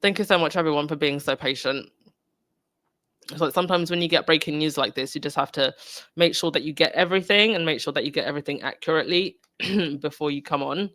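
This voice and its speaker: A woman's voice: deep voice, quick pace, a bit bright, no echo. A woman with a cold, hosting a live podcast and chatting casually while reading out viewer comments. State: congested with a cold. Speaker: podcast host.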